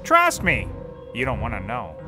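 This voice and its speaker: A man's voice: medium pitch, huge pitch swings, moderate pace, music in the background. An actor playing an eccentric, rambling male detective in an audio comedy, erratic in delivery. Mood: evasive, jittery. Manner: erratic, swinging from muttering to outbursts. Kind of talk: scripted comedy audio drama.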